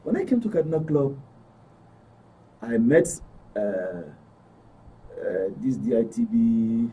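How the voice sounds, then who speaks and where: A man in his fifties with a Northern Nigerian accent, telling a personal story haltingly and repeating phrases. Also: mid-range voice, very animated, slightly rough, narrow, tinny audio. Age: 50s. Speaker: panel guest. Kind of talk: personal storytelling in a studio discussion. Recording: distant mic with faint noise.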